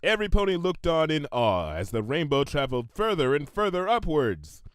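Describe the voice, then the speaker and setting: Male narrator, higher-pitched, very animated, theatrical, emphatic, dry close sound. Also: normal speed, dry recording. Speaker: story narrator. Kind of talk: dramatic comedic fan fiction narration.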